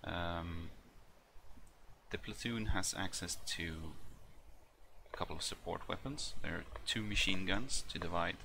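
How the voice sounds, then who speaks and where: A man's voice: sits low, creaky. A man, not an English speaker, gives a hesitant walkthrough with many ums and uhs. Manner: hesitant, halting delivery full of ums. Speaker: hobbyist video maker. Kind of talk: casual walkthrough with many fillers. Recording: noisy home recording with a stutter.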